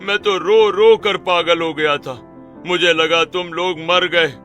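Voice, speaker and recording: A man playing a smug, bright-voiced scheming cartoon uncle. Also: sits high, steady tone, takes it slowly, faint music behind. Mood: gushing, false affection. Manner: theatrical, oily, taunting. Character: scheming middle-aged villain uncle, falsely affectionate and smug. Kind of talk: Hindi cartoon villain acting.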